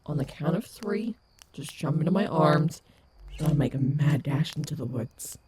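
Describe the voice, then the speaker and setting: A woman's voice: sits low, expressive, normal speed, noisy background. A woman playing a confident, protective animatronic wolf in an audio roleplay, sarcastic but tender toward her companion. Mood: alarmed.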